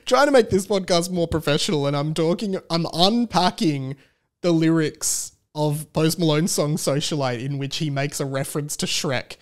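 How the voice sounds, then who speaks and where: Australian male podcast host, thirties, higher-than-average voice, casual delivery with wry, mock-serious musings. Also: moderate pace. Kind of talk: casual solo podcast commentary.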